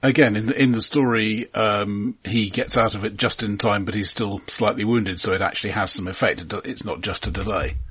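A man with a rough-edged, husky voice pitched on the low side co-hosts an informal talk about films. His delivery is dry and measured, with matter-of-fact asides. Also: fairly even intonation, a bit bright. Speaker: film podcast co-host. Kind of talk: casual film discussion.

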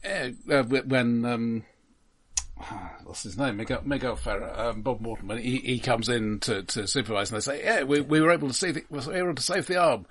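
A man with a husky, breathy voice at a middle pitch talks about a film. He stumbles over his words and restarts often, and his remarks have a dry, wry edge. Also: a bit bright. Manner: halting, stammering restarts, dry humour. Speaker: podcast co-host. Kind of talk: casual film discussion.

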